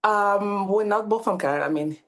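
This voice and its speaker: A woman's voice: medium pitch, a little fast. A woman from Cameroon in her thirties, a podcast guest talking casually with many fillers and restarts. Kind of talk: casual interview talk.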